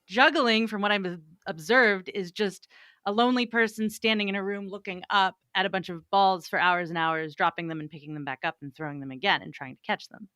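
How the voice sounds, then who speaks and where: A woman hosts a podcast interview with a bright, forceful voice, teasing her guest and tossing in lots of "like." The sound is dry and close, with no room echo. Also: mid-range voice, a little fast, smooth. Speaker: podcast host. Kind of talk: casual interview chat.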